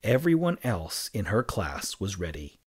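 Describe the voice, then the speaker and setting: A man reading a children's story aloud with expressive, deliberate and dramatic phrasing. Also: fairly low pitch, husky. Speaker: storybook reader.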